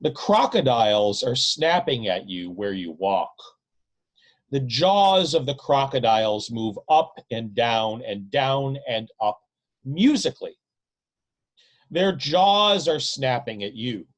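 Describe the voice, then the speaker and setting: A man reading his own novel aloud as literary narration, in a deliberate, dramatic manner with drawn-out, emphatic phrasing. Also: mid-range voice, sing-song.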